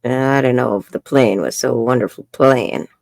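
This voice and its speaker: A woman's voice: a bass voice, normal speed, gravelly, a little mellow, dry recording, studio quality. A woman, telling her life story frankly as an interview guest and laughing often. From New York. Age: 70s. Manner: frank and chatty, laughs often, says "bloody". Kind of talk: candid personal storytelling in an interview.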